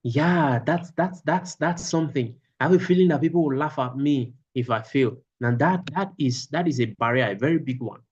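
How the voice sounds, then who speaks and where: A man's voice: medium pitch, normal speed, hardly any pauses, darkish tone, husky, highs cut off. Man teaching an online class. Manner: repeats phrases for emphasis.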